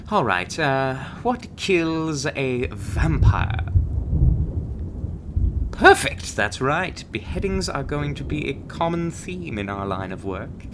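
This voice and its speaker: A man's voice: mid-range voice, noisy background. Man acting a suave, drawling, swooping monster-hunter agent. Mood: quizzing, patronizing. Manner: theatrical, drawling, calls listener darling and doll. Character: suave, condescending, secretive seasoned monster-hunting agent, male. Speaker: voice actor in an audio roleplay. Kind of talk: scripted ASMR roleplay acting.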